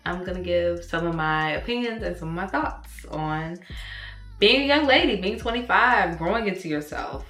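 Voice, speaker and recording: A woman in her twenties talking to camera, giving candid big-sister advice in a casual, animated way, with slang and the odd swear. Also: on the low side. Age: 20s. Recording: echoey room with faint music.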